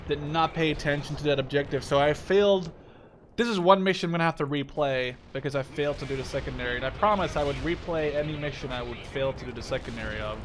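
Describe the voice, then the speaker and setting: A man in his twenties giving casual, rambling gameplay commentary, often interrupting himself. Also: mid-range voice. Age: 20s. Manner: rambling, self-interrupting, informal with mild swearing. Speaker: gaming video maker. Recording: game battle noise behind voice. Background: artillery, gunfire and vehicle sounds from game.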